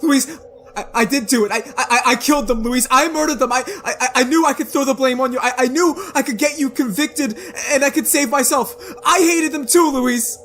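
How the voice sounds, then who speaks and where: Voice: high-pitched, fairly quick, fairly bright tone. An actor playing a guilt-wracked, cowardly lawyer and murderer in a radio horror drama, stammering and pleading. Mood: sobbing guilt. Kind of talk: old-time radio horror drama acting.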